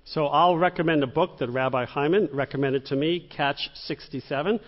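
A middle-aged man moderating a public Q&A onstage, brisk and prompting. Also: a little high. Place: synagogue stage before an audience. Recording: dull echoey hall recording.

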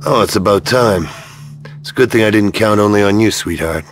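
A man's voice: fairly low pitch, a little fast, over music, lightly acted. An actor playing a sardonic male action hero in a science fiction video game, wry and sarcastic.

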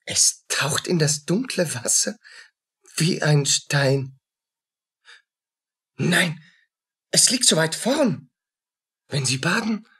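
Radio drama: feverish, haunted soldier and murderer. Very husky, very slow, pitch all over the place. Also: male voice, animated, bright, forceful tone, heavily acted. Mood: paranoid. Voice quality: husky, rough. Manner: agitated, fragmented, muttering and crying out. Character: tormented, feverish soldier, a murderer unraveling in guilt. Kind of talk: radio play acting.

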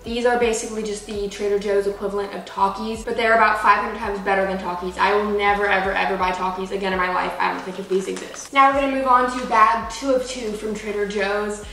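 A woman's voice: medium pitch, steady tone, fast talker, hardly any pauses, fairly bright tone, slight background noise. Chatty 20-year-old woman in echoey kitchen. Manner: chatty, lots of "like" fillers. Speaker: vlogger. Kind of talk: casual grocery haul chat. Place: home kitchen, indoors. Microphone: camera a few feet away. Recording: echoey kitchen, distant mic.

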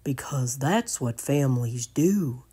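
A woman voicing a patient, gentle male steer who reassures in a measured way, for a storybook. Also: a bass voice, expressive, breathy edge, pitched below the performer's own voice, exaggerated voice. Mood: reassuring.

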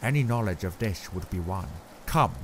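A man voicing an older, grave and kindly foreign professor who speaks in halting, odd word order. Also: sits low, animated, slight background noise.

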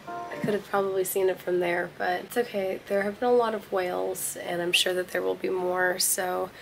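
A woman in her forties, hoarse from losing her voice, rambling casually to a phone held at arm's length in a small cruise ship cabin. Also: level tone, normal speed, music in the background, poor audio. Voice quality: low, gravelly. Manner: rambling, self-correcting. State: hoarse, recovering from lost voice. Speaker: travel vlogger. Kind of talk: casual vlog chat to camera.

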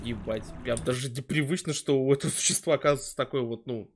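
A man's voice: mid-range voice, fast. A young adult man giving casual reaction commentary on a stream, searching for words and swearing freely. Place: indoor streaming setup.

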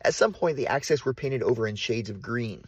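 A man with a husky, slightly breathy voice tells the story of an abandoned school. His delivery is calm and measured, in the manner of a documentary narrator. His voice sits at an ordinary pitch. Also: lo-fi sound. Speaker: urban explorer narrator. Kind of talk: documentary narration with on-site asides.

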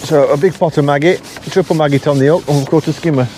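A middle-aged man from England talking casually to the camera while fishing from a riverbank, thinking aloud in a relaxed, unhurried way. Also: fairly high pitch. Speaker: angler filming his own channel. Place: riverbank outdoors on a windy day. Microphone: camera mic placed behind him. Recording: outdoor camera audio with wind noise.

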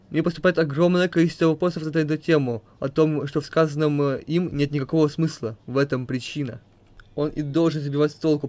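A man's voice: a little high, a little mellow. A man reading questions and explanations aloud as narrator of an amateur comic dub.

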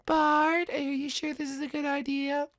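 A woman's voice: high voice, little pitch movement, unhurried, no room sound, studio quality. A woman voicing a bossy, confident young girl who keeps encouraging her brother, in a homemade cartoon play.

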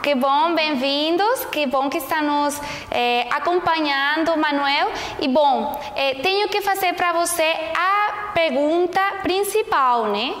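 A woman's voice: sits high, slow, few pauses, fairly bright tone, a little hiss or hum. A young woman hosting a studio interview with a lapel mic, asking friendly questions with small hesitations. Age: young adult. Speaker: TV host. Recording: echoey studio with long reverb.

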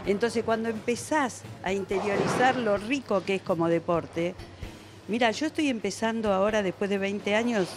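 A woman's voice: medium pitch, moderate pace. A woman in her seventies with an Argentine accent answers interview questions conversationally, in a reflective, explanatory manner. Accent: Argentine Spanish. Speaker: veteran bowler being interviewed. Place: indoor bowling alley. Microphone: handheld interviewer's microphone. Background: music.

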